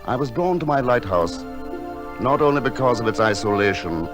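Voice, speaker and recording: An actor playing a gentle, misshapen recluse painter, telling his story in formal, poetic, earnest language. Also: medium pitch, lively intonation, normal speed, lightly acted. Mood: grave, reflective. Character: solitary, misshapen painter living in a lighthouse, gentle and wistful. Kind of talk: dramatic narration in an audio drama. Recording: music behind the voice.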